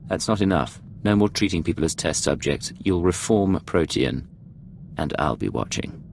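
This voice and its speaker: An actor playing a cool, terse, dry-witted secret agent in a video game, with a gravelly voice. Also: male voice, low-pitched, lively intonation, normal speed, a little mellow, slight background noise. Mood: firm, questioning.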